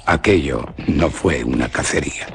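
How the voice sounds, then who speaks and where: An actor playing a young nobleman who gravely tells an old legend to his cousin. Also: extremely low pitch, expressive, very noisy. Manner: grave, measured storytelling. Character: young nobleman Alonso, earnest storyteller. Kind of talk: radio drama acting.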